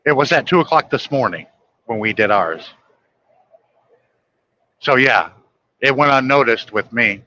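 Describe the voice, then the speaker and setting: A man's voice: mid-range voice, lively intonation, unhurried, long pauses, pushed and bright, no echo, highs cut off. A man chatting casually late at night on a live stream, blunt and griping, swearing freely.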